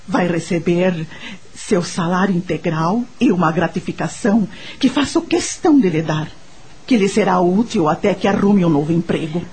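Husky, grieving mansion mistress. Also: female voice, lowish voice, darkish tone, heavily acted. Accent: Brazilian. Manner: formal, measured, commanding. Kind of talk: Portuguese-language radio drama acting.

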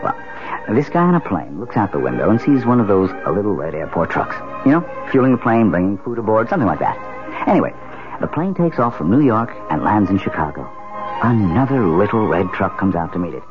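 Breezy, animated newlywed husband. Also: male voice, fairly low pitch, fairly quick. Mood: breezy, teasing. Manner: breezy, teasing, dismissive of worry. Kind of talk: 1970s radio mystery acting. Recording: muffled 1970s radio, music behind.

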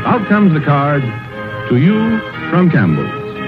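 A man's voice: a little high, wildly swooping pitch, takes it slowly. A middle-aged American man reading a sponsor message as an old-time radio announcer, formal, polished and earnest. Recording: tinny vintage radio, faint music.

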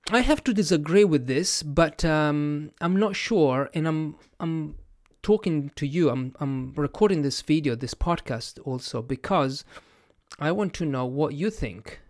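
Slow, hesitant Italian-accented man. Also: on the high side, dry recording, clean studio sound. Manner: hesitant, many fillers and self-restarts, thinking aloud. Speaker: podcast host and language educator. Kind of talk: casual reflective monologue to camera.

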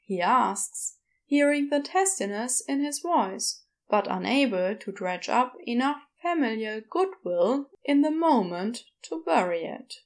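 A woman narrating scripted fiction in a measured, wry storytelling manner. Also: fairly high pitch, lively intonation.

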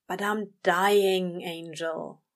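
A woman voicing a weary, dramatic male demon in an audio story, with drawn-out, exasperated grumbling. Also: fairly low pitch, steady tone. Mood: whining, dramatic.

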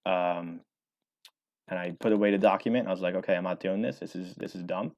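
Low-voiced young man, rambling answers. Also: steady tone, a little fast, darkish tone. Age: 20s. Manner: rambling, many fillers and restarts. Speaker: author and former college baseball player. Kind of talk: casual interview answers.

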